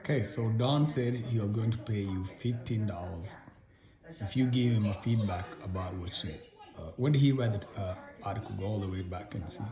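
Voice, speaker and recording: A man's voice: lowish voice, a little fast, crowd noise. A middle-aged man asking questions casually, rephrasing as he goes and prompting for answers. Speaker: interviewer, father of the guest. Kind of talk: casual interview. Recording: roomy echo with music behind.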